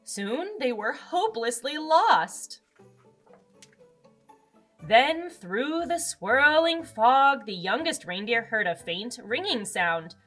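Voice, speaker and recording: An American woman tells a picture-book story to young children as a read-aloud host. Her voice is fairly high and highly expressive, and she takes her time. Music plays behind her. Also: slow. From Arizona, United States.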